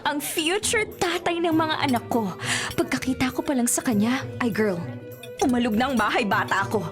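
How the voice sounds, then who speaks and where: A giddy female college student in love, with a high voice that swings up and down. She gushes in Taglish like a radio romcom heroine, over clear background music. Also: animated, normal speed. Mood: giddy, lovestruck. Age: young adult. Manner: gushing, Taglish code-switching. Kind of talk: Filipino radio romantic comedy acting.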